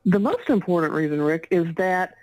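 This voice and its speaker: A woman's voice: fairly low pitch, lively intonation, moderate pace, a little mellow, no room sound, low-quality recording. Chatty Texan woman, dry close sound. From Texas, US. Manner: chatty and fluent, often laughs while talking. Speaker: guest author and business coach. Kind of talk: conversational interview answers. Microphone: remote call.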